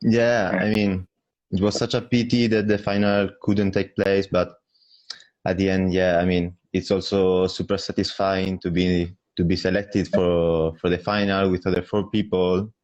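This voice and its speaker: Young southern Italian man, relaxed and modest, low voice, lo-fi video-call sound. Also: measured pace. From Calabria, southern Italy. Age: young adult. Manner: modest, relaxed, leans on "I mean" and "yeah". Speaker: guest, bassoonist. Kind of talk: interview answers. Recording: dull lo-fi video call audio.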